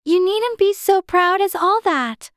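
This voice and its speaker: Tiny high little girl voice, nosy, eager, bit prim, storybook heroine. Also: expressive, unhurried, fairly bright tone, no room sound, studio quality, full character voice. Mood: indignant. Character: curious, polite, indignant little English girl Alice. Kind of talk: dramatized storybook narration.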